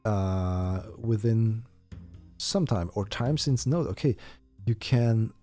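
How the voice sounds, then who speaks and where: A man's voice: fairly low pitch, lively intonation, takes it slowly, dark tone, faint music behind. A man whose first language is German, chatting through a live game stream and thinking aloud with many fillers and restarts. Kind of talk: live-stream gameplay chat.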